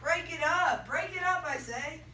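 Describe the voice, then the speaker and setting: Teen girl as a high, urgent peacemaker. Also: normal speed, bright, forceful tone. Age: young teenager. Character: urgent colonial peacemaker merchant pleading for calm. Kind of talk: student dramatic reading. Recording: echoey classroom, far from mic, some noise.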